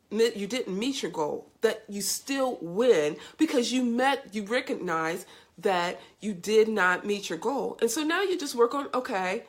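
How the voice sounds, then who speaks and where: A woman hosting a casual live stream, upbeat and effusive, greeting viewers by name, with a congested, scratchy voice from a cold. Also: a little high. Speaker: host of a live video show.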